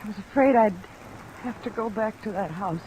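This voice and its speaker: A woman's voice: mid-range voice, normal speed, mellow, close to an everyday voice. An actress playing a frightened, despairing young woman in an old radio drama, with a pleading, fearful delivery. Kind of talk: 1950s radio drama acting. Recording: noisy old radio recording, rain behind.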